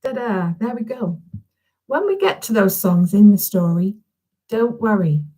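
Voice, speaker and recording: A woman's voice: medium pitch, expressive, unhurried, dark tone. A woman who is a children's author, chatting informally and encouragingly to young schoolchildren from a room at home, often checking in with little questions. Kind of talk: chatty, informal live video address to schoolchildren.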